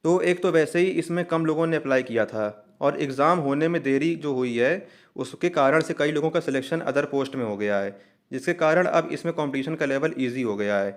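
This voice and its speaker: A man's voice: a little high, a little fast. Man explaining updates to students. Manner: explanatory, reassuring, mixes English terms into speech. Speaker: host of an exam update channel. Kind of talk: informational explainer addressed to students.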